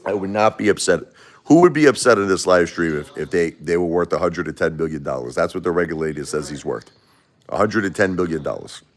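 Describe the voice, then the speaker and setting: A man's voice: low voice, a little fast. A man from Brooklyn, hosting a casual livestream, blunt and repeating himself as he answers viewers and spars with critics. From Brooklyn, New York. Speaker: livestream host. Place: home.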